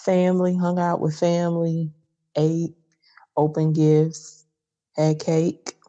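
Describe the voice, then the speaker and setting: A person in their forties co-hosting a podcast, upbeat and joking, with quick asides. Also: mid-range voice, completely flat delivery, mellow, muffled recording. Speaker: podcast co-host. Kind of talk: casual chat.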